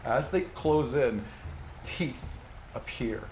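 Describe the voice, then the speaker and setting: Male game master, dramatic improvised narrator, roomy recording with clear background noise. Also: mid-range voice, expressive, takes it slowly, a little mellow. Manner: dramatic storytelling with spoken sound effects. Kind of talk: narrating a tabletop game, improvised scene description.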